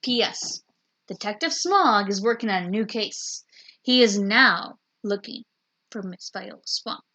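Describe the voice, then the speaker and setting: A woman teacher reading a picture book aloud in a slow, deliberate storytelling manner. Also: mid-range voice, lively intonation, sharp tone.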